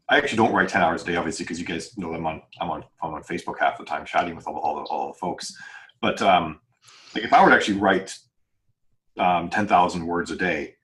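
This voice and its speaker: A man's voice: deep voice, a bit bright, low-quality recording. A man speaking as a novelist on a podcast panel, chatting casually and explaining earnestly in a rambling way, often doubling back to rephrase.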